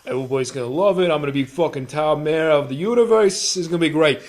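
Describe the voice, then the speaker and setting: A man with a slightly high voice co-hosts a gaming podcast. He chats and tells stories casually, with plenty of swearing and an exasperated, ranting manner. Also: quick pace. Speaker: podcast co-host. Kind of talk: casual chat and storytelling.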